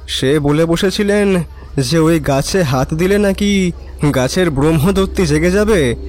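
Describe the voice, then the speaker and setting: Young man, audio drama: wry, resigned local talker, fairly high voice, music behind. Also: a little mellow. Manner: colloquial, emphatic repetition, wry. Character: resigned, cynical young neighbourhood man chatting at tea stall. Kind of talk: Bengali audio drama acting.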